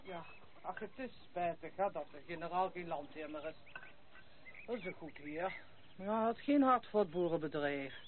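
An actress playing a good-natured, gossipy farmer's wife in a radio play, rambling. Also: female voice, on the low side, moderate pace, lightly acted. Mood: cheerful, gossipy. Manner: rambling, folksy, chuckling. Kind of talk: Dutch radio play acting. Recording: noisy, thin phone-like old radio recording.

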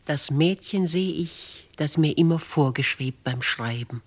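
A woman's voice: low-pitched, unhurried. A woman playing a dignified, bold older noblewoman writer in a 1950s German radio drama, with theatrical, declamatory phrasing. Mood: tender, searching.

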